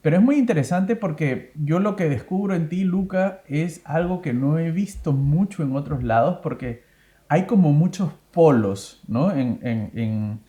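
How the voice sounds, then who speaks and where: A man in his thirties hosts a relaxed interview, speaking with a mellow, warm tone. He asks questions in a chatty way, often circling back to rephrase them. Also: a little high, a little slow. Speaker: host, interviewer. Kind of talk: casual interview, plus read sponsor ad.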